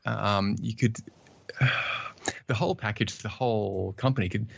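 A man's voice: on the low side, normal speed, vocal fry, lo-fi sound. A native English-speaking man answering interview questions, hesitant and polite, with many fillers and restarts. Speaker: podcast guest, documentation writer.

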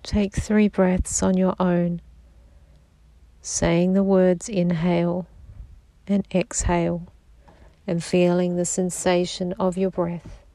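A woman guiding a sleep meditation in a slow, soft-spoken, soothing manner. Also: on the low side, little pitch movement, dry recording, rough recording. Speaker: meditation guide.

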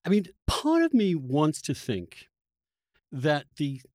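A man hosting a podcast, asking long, reflective questions in a halting, searching conversational way. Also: a little high, wildly swooping pitch, darkish tone, dry recording, studio quality. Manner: halting, searching delivery with repeated words. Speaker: podcast host.

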